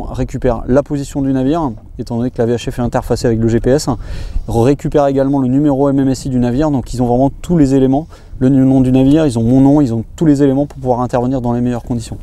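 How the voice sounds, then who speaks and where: Male tutorial presenter, very mellow dark tone, brisk pace, informal unscripted demo delivery. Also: mid-range voice, a little hiss or hum. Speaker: presenter of boating equipment tutorials. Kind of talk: informal product demonstration, explaining step by step.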